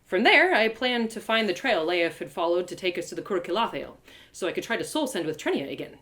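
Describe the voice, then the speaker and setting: A woman reading a first-person story aloud live, as its storyteller, in a dramatic, expressive way. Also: fairly low pitch, fairly quick. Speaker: author and storyteller.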